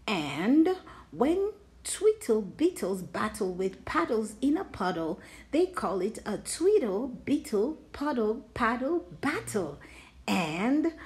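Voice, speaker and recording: Female storybook reader, very animated, medium pitch, rhythmic rhyming read-aloud with expressive articulation.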